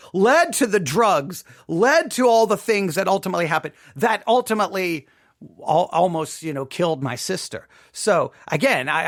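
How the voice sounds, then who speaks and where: Male host, notably high voice, off-the-cuff personal commentary, emphatic, halting, circles back on phrases. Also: a bit bright. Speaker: host commenting on a radio drama. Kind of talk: casual, personal spoken commentary.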